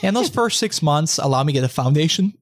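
A man's voice: on the high side, animated, quick pace, no room sound, studio quality. A young man from Russia with a slight Russian accent, a podcast guest chatting upbeat and laughing often. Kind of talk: casual interview chat.

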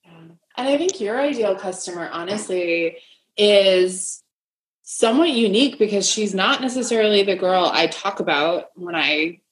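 A woman hosting a casual interview, speaking in a friendly, coaching way with quick replies. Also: mid-range voice, poor audio. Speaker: podcast host, business coach.

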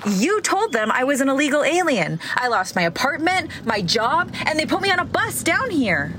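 A woman's voice: high voice, big pitch swings, normal speed, sharp tone, slight background noise, exaggerated voice. A woman playing an exasperated, scornful nun in a comedy audio drama, curt and sarcastic in her delivery. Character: exasperated, sharp-tongued pregnant young nun, scornful.

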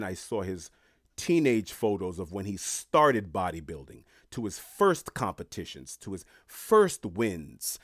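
A man from Haiti hosting a podcast, telling stories with animated rises and dips in emphasis. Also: on the low side, dry recording, studio quality. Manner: animated storyteller, rising and falling in emphasis. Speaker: podcast host. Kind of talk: casual podcast interview.

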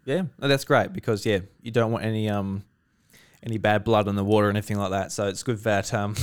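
A man's voice: lowish voice, clean tone. A man interviewing game developers in casual chat, talking loosely with lots of fillers. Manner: chatty, lots of fillers and laughs.

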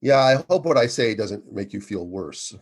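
Brief: man in his sixties, obstetrician guest, loud and emphatic, husky lower voice. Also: lively intonation, normal speed. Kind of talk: conversational interview.

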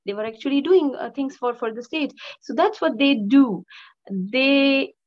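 A woman's voice: high voice, unhurried. Emphatic woman, muffled sound. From Pakistan. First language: Pashto. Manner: emphatic, with frequent restarts and filler sounds. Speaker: guest, journalist and activist. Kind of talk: passionate interview answers.

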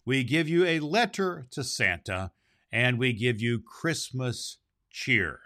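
Santa Claus, played by a man, children's storybook host. Jolly, theatrical, drawn-out delivery, medium male pitch. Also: lively intonation, pushed and bright, no echo, clean studio sound, exaggerated voice. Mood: kindly, jolly. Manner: theatrical, drawn-out phrasing, ho-ho-ho chuckles. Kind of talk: storybook reading and children's show hosting.

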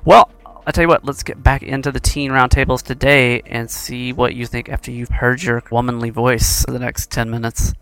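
A man's voice: medium pitch, flat delivery, moderate pace, hardly any pauses, fairly bright tone, clear, resonant tone, no room sound. A man hosting a discussion show, asking probing questions in a casual way and often restarting his sentences. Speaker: show host and roundtable moderator.

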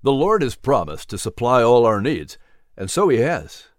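A man voicing a calm, reassuring reverend with a lower, measured delivery in a storybook reading. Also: dry recording, clean studio sound, close to an everyday voice.

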